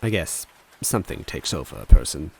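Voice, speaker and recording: A man reading as a self-deprecating, fearful little man who narrates his storybook tale in a solemn, deliberate way. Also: a bass voice, very animated, vocal fry, a little hiss or hum, pitched below the performer's own voice, exaggerated voice. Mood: somber, reflective. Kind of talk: first-person storybook narration.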